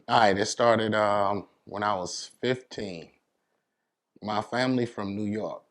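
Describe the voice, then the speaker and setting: A man's voice: on the low side, slow, a bit bright. A middle-aged American man chatting casually as an interview guest, telling his story in a laid-back, plain-spoken way.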